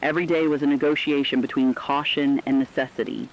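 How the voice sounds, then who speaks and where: A man delivering scripted apocalyptic horror narration in a grave, measured storytelling manner. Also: mid-range voice, fairly even intonation, highs cut off, a little hiss or hum.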